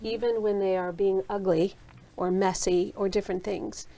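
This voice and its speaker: A woman's voice: mid-range voice, steady tone, normal speed, dark tone. A woman hosting a conversation in a university studio, asking reflective follow-up questions. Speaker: host. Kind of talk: conversational interview.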